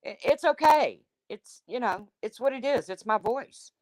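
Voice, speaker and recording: A woman with a deep, husky smoker's voice hosting a livestream, reading chat comments and answering them in a blunt, chatty way. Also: expressive, measured pace, darkish tone, no room sound, poor audio. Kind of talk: casual chat with viewers.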